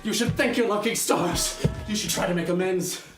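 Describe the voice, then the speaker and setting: A man in a slightly higher register nags and scolds in a spoken-word monologue. His voice rings in an echoey space. Loud music plays behind him the whole time. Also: moderate pace, recorded from a distance. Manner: scolding, nagging lecture.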